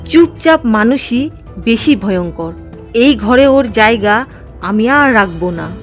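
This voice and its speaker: Lady doing a sly older village mother-in-law for a cartoon, highish voice, music behind. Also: lively intonation, slow pace. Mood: scheming. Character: scheming older village mother-in-law, jealous of new bride. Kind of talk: Bengali cartoon drama dialogue.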